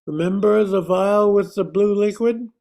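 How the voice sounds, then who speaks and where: A man acts out a calm, cunning doctor from a radio drama. His tone is mellow and composed. He explains his schemes at a very measured, unhurried pace. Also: sits high. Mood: satisfied. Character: calm, cunning doctor uncle, a scientist outwitting gangsters. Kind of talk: old-time radio thriller acting.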